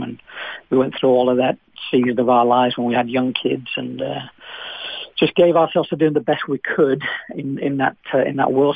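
A man with a Manchester accent, a pastor in his fifties, tells his story over a thin, phone-like line. His voice has a gravelly edge and stays fairly flat, with many fillers and restarts. The sound is dry and close. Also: medium pitch, no echo. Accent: Manchester English. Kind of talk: radio interview guest.

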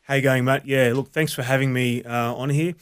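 An Australian man with a smooth, clear voice tells his story in an interview. He speaks earnestly at a middle pitch, halting and circling back as he searches for words. He is a pastor sharing his testimony. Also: steady tone. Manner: earnest, halting, many restarts. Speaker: associate pastor, guest.

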